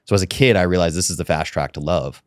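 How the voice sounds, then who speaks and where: A man from Rhode Island, a podcast guest, telling stories about his music life in animated, casual chat. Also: sits low, dry recording, studio quality. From Rhode Island, USA. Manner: animated, fast storytelling.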